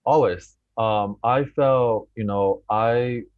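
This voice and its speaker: A man's voice: mid-range voice, takes it slowly, clear, resonant tone, dry recording, narrow, tinny audio. A man from China, a film director answering interview questions over a video call, earnest and thoughtful, with many fillers and restarts. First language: Mandarin. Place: at home in Beijing, remote call.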